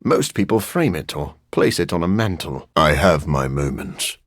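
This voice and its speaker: An actor playing a brooding, scholarly vampire in a video game, a man with a husky, gravelly voice and a dry, formal manner. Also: rumbling low, lively intonation, moderate pace, no echo. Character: brooding, scholarly living vampire scientist, grim and awkward, adult man. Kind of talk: video game character acting.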